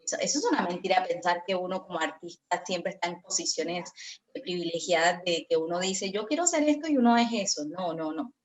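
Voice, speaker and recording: A woman's voice: on the low side, fairly quick. A woman from Colombia speaking as a guest in a virtual conference discussion, reflective and hesitant, with many fillers, over a video call.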